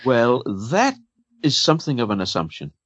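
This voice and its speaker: Danish man, lively pitch, dull audio. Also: moderate pace, dry recording. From Denmark. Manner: careful, hesitant, repeats words. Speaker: podcast guest. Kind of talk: casual chat.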